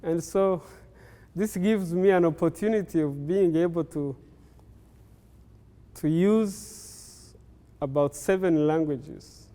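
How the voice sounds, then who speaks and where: An older man from Rwanda, a native Kinyarwanda speaker, tells his life story in a slow, unhurried way, pausing often. His voice is mellow and smooth, a little higher than most men's. The recording carries a long hall reverb. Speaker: interviewee.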